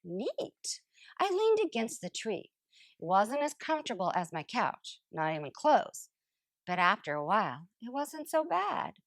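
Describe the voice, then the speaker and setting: A woman voicing a smug, lazy couch potato in an expressive, theatrical storybook read-aloud. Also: on the high side, no room sound, clean studio sound. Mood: wondering, calm. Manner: theatrical, exclamatory, drawn-out emphasis. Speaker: storybook narrator character. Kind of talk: expressive first-person picture book read-aloud.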